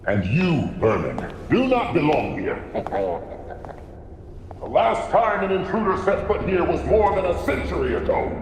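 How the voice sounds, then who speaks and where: Scary court judge, cold commanding, super echoey hall. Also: male voice, high voice, sing-song, unhurried, full character voice. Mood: menacing. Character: menacing male voice of a secret court. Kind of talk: game cutscene acting. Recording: echoey hall with background noise.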